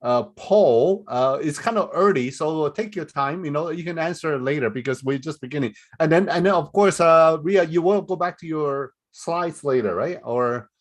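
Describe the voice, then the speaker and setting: A man moderating a webinar Q&A over a video call, chatty and halting, with frequent laughs and restarts. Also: fairly high pitch. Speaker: forum moderator.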